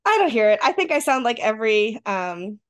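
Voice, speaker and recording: High-voiced Rochester woman, lively. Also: fairly quick. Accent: Rochester, New York. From Western New York. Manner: animated storyteller, laughs often. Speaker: podcast co-host. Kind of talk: casual chat.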